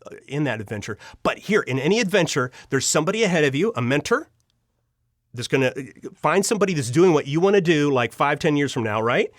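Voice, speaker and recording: A man's voice: medium pitch, no room sound, clean studio sound. A man speaking conversationally as an interview guest, in an earnest, encouraging manner. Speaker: podcast guest, audio drama storyteller. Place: recording studio.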